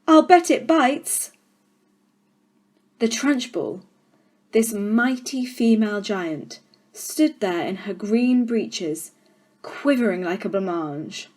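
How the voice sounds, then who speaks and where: Children's audiobook narrator: woman, mid-range voice, expressive, deliberate and dramatic. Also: mid-range voice. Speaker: storyteller.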